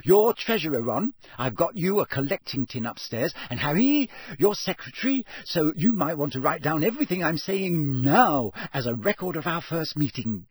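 A man voicing a bossy, earnest teenage girl campaigner, brisk and insistent, in a storybook reading. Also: on the high side, animated, husky, dry recording, voice pushed up. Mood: eager, insistent.

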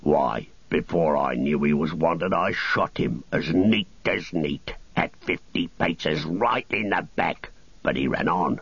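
A big orc soldier growls threats in a deep, gravelly voice, as a man voices him in a storybook reading. He speaks slowly and heavily. The sound is dull and dry. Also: fairly bright tone, no echo, exaggerated voice. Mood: menacing.

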